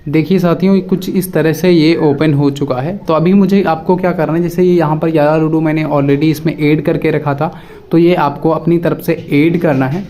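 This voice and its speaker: A man's voice: fairly high pitch, steady tone, moderate pace, mellow, a little hiss or hum. A man presenting a casual step-by-step phone app tutorial, addressing viewers directly and often repeating his instructions.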